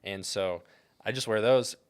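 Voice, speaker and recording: A man's voice: fairly low pitch, a little slow, smooth. A man with an American accent chatting casually as a podcast guest, telling things in a rambling, informal way peppered with "like" and "dude".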